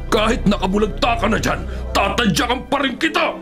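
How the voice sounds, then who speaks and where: Hot-headed male convict, fairly high voice, threatening, impatient, radio drama, music behind. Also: animated, a little fast. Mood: furious. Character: hot-tempered, bullying male prison inmate.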